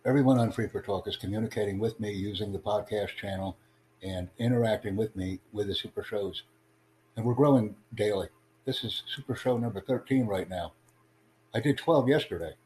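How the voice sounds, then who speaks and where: A man hosting a livestream podcast, addressing callers in a deliberate, instructive way. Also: fairly low pitch, a little mellow. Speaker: podcast host. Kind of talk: livestream chat with online callers. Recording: clearly noisy, humming background.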